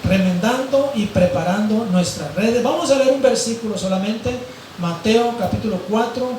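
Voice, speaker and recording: Hoarse pastor in an echoing hall. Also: male voice, high-pitched, moderate pace, a little mellow, slight background noise. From Mexico, grew up in Mazatlán. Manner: rambling, fills with "eh" and "¿verdad?". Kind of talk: sermon. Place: large echoey church hall. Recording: very echoey hall from far off.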